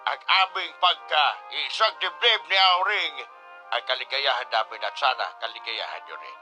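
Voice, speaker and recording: A man's voice: medium pitch, animated, moderate pace, over music, lightly acted. A man playing a grateful, sentimental older groom and stepfather in a Tagalog radio drama. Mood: joyful.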